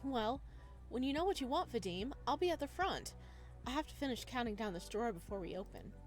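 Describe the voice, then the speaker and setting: A woman's voice: fairly high pitch, animated, a little fast, faint music behind, voice pushed up, close to an everyday voice. A woman voicing a friendly, attentive young male flower shop clerk in a visual novel, polite and chatty.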